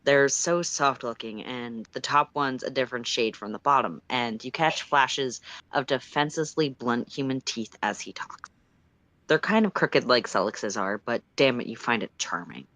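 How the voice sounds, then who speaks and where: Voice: medium pitch, moderate pace, a bit bright, no echo. A storyteller reading fan fiction aloud in the second person, with an emphatic, dramatic and exasperated delivery. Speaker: storytime livestream reader.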